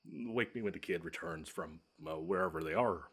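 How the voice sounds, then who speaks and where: A man plays a monster who lurks under a child's bed, in a deep, husky voice. He is grumpy and pompous, choosing fussy words and stretching out his threats. The delivery is a scripted audio roleplay with dry sarcasm. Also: fairly bright tone, exaggerated voice. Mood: exasperated. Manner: pompous, wordy, drawn-out menace with dry sarcasm.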